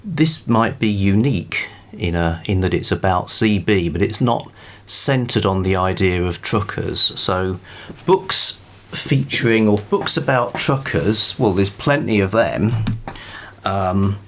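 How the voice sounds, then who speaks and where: Slow-talking, low-voiced British man. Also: expressive. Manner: halting, thoughtful, wryly amused. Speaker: book review vlogger. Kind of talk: rambling, informal book talk to camera.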